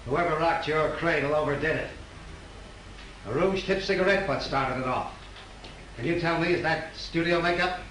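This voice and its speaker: Man playing a brisk, bright-voiced 1930s movie detective. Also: on the high side, natural voice, rough recording. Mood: dry, probing. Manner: brusque, interrogating. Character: brisk, hard-boiled police lieutenant leading a murder inquiry. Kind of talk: 1930s film acting. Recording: hissy early 1930s film soundtrack.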